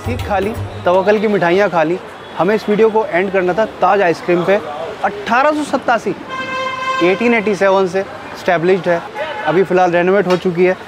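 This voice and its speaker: A man's voice: high voice, steady tone, normal speed. A middle-aged man chatting enthusiastically as he tastes food on a casual vlog, speaking into a lapel mic in a small eatery. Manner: enthusiastic, chatty, tasting and commenting. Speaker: food vlogger. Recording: background music under voice.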